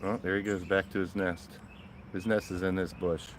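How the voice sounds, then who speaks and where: Raspy low-voiced man streaming outdoors. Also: flat delivery, moderate pace, a little hiss or hum. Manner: chatty, greets viewers by name. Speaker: live stream host. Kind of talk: casual live-stream chat. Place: backyard garden outdoors. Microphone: small phone-mounted microphone.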